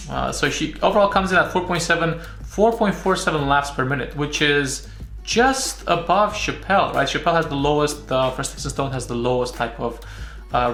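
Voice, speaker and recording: A man's voice: on the high side, sharp tone. A man from Romania giving casual, analytical review commentary, often rephrasing himself and checking in with "right?". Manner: repeats and rephrases, often tags sentences with "right?". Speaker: YouTube comedy reviewer and comedian. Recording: roomy echo with music behind.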